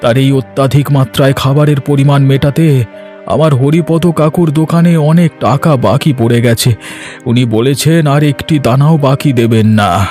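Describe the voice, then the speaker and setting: A man's voice: mid-range voice, normal speed, a little mellow, natural voice. Guy doing broke farmer husband, mid voice, fed up and whiny, village cartoon with music. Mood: despairing. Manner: complaining, plaintive. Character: henpecked poor village farmer, weary and despairing. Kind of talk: cartoon voice acting.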